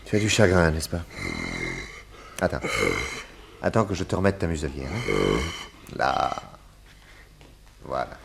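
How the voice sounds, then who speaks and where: An actor playing a calm, methodical male detective in a radio serial, reassuring and measured as he explains. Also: very deep voice, creaky, background noise, exaggerated voice. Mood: soothing.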